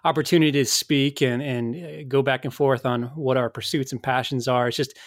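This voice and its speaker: A man's voice: medium pitch, steady tone, a little fast, no room sound, studio quality. A man hosting a casual interview over a video call, appreciative and prone to stuttering restarts. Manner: warmly appreciative, repeats words when starting. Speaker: host.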